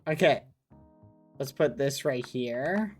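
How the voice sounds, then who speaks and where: A man's voice: medium pitch, animated, slow, faint music behind. A man live streaming, chatting casually with his viewers in a rambling, playful, mock-indignant way. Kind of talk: casual chat with stream viewers while gaming.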